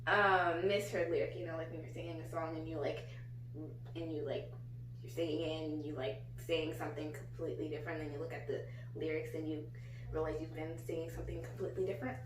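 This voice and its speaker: A young adult woman with an American accent hosting a casual chat in an informal, halting way. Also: low voice, steady tone, distant mic. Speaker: vlog host, a daughter. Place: small living room, on a couch. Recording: roomy, off-mic, faint hum.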